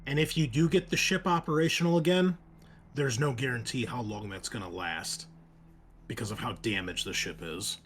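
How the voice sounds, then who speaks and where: A man explaining a tabletop roleplaying scenario as game master, speaking in a hesitant, halting way. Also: medium pitch, a bit bright, a little hiss or hum. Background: background music.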